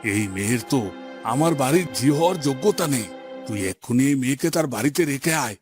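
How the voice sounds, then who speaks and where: A man's voice: mid-range voice, expressive, a little fast, lightly acted. A man voicing a domineering village headman and father in a melodramatic cartoon, stern and imperious. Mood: outraged. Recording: background music under the voice.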